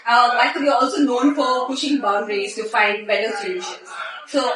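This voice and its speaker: A woman's voice: a little high, steady tone, moderate pace. A woman interviewer asking questions in a conversational corporate interview style. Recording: echoey, distant mic, busy crowd chatter.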